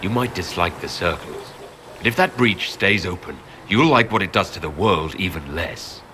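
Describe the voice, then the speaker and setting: A guarded fantasy game hero, a mage, with a very deep voice. He is direct and probing, with clear ambient noise behind him. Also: animated, normal speed, bright, forceful tone. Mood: guarded, questioning. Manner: direct, questioning. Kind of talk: video game dialogue.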